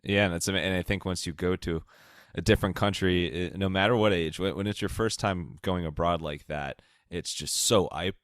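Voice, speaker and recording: A man's voice: sits low, fairly even intonation, fairly quick, dry recording, studio quality. An American man hosting a podcast, chatting casually and effusively with upbeat, wordy interview questions. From Ohio, United States. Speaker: podcast host.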